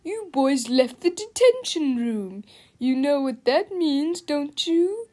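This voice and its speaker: Woman as mean male principal, storybook villain, loud, gloating, shouting, gleeful. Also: high-pitched, big pitch swings, takes it slowly, pitched above the performer's own voice, full character voice. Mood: gloating, angry.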